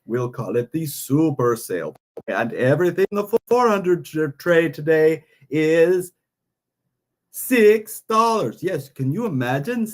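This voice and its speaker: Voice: medium pitch, big pitch swings, a little slow. A performer voicing a cheeky, grumbling puppet sidekick with theatrical, mock-indignant comic sales patter. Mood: playful announcing. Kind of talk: comic live-sale banter and patter.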